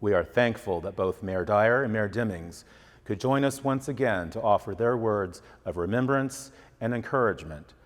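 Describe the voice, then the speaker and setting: Low male ceremony host in reverberant sound. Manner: solemn, formal. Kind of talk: formal scripted introduction.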